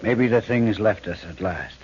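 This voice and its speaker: A haunted railway telegraph man played by an actor in a vintage radio horror play, worn out and fraying with dread. He speaks in anguished, halting repetitions. The recording is muffled, with faint hiss. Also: fairly low pitch, darkish tone, natural voice. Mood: brooding dread. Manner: anguished, halting, repeating himself. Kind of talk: old-time radio horror acting.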